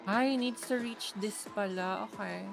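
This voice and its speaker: A woman's voice: mid-range voice, measured pace, creaky, over music. A woman with a Filipino accent chats casually on a game livestream, playful and blunt. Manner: chatty, playful, blunt with swearing. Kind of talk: casual livestream chat, mixing English and Tagalog.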